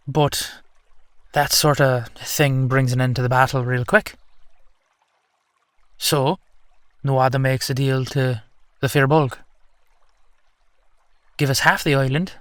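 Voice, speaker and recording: A man's voice: mid-range voice, a bit bright, dry recording, studio quality, lightly acted. A man playing a whimsical, boastful ancient salmon in human form, chattily telling old myths in an audio roleplay. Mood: wry storytelling. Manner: chatty, colloquial, playfully indignant. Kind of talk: audio roleplay storytelling addressed to the listener.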